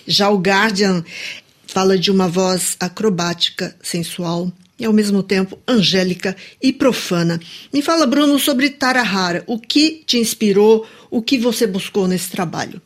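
A woman's voice: medium pitch, a little slow. A woman with a Brazilian accent conducting a radio interview in a studio, moving between prepared introductions and questions.

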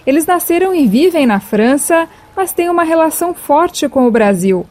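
A woman's voice: high voice, expressive, normal speed, a little mellow. A woman with a Brazilian accent reading scripted narration as host of a news podcast.

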